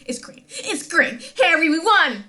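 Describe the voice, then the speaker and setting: An actress playing an overexcited, gushing phone-in caller in a radio drama, exclaiming with giddy delight. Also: female voice, very high-pitched, very animated, measured pace, sharp tone, full character voice. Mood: thrilled.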